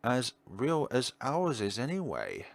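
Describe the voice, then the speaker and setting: A man giving casual playthrough commentary as a gaming video host, thinking aloud with questions and trailing pauses. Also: medium pitch, wildly swooping pitch, gravelly, no room sound. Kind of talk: casual playthrough commentary, partly reading on-screen text aloud.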